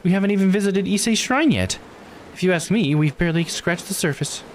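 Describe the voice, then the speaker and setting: A man's voice: high-pitched, animated, brisk, slight background noise, pitched above the performer's own voice. A man voicing an eager, proud guide character while reading a visual novel aloud. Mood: bright, informative.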